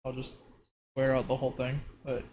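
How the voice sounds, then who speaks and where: A man improvising narration as a tabletop game master in a halting way. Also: medium pitch, completely flat delivery, a little mellow. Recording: clearly noisy background.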